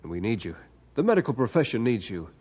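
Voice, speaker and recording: A man's voice: mid-range voice, sing-song, quick pace, darkish tone. A man playing an earnest friend in an old-time radio drama. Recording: muffled, band-limited vintage radio recording.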